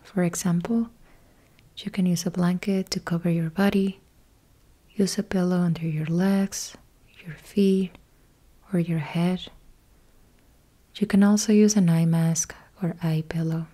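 A woman leads a guided sleep meditation as a yoga nidra instructor, speaking in a soothing hush with short measured phrases and soft, breathy endings. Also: on the low side, fairly even intonation.

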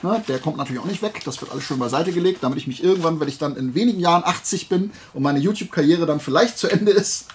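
A man's voice: a little high, fast, few pauses, a little hiss or hum. A man chatting casually and unscripted to viewers as a video host, enthusiastic, colloquial and rambling. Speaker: video host showing collectible finds.

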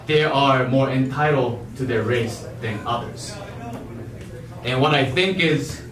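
A young man from Japan performing amateur stand-up comedy on a theater stage with a handheld mic, in an emphatic, halting way that repeats phrases. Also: medium pitch, fairly bright tone, recorded from a distance. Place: theater stage before a live audience. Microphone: handheld wired microphone. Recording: echoey stage mic, heard from afar.

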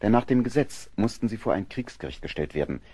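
A man narrating an audio drama from a script in a measured, factual storytelling manner. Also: lowish voice, animated, mellow, husky.

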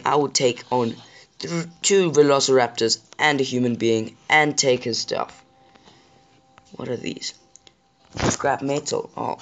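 A man with a sore throat and a slightly rough voice, chatting casually to viewers while he plays a survival game. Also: mid-range voice, slight background noise. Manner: chatty, apologetic, addresses viewers directly. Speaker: gaming channel host. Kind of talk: casual gameplay commentary.

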